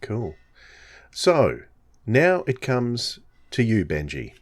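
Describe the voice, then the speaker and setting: A man's voice: medium pitch, wildly swooping pitch, very slow. A man hosting a podcast in a casual, conversational style. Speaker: podcast host. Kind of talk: casual chat.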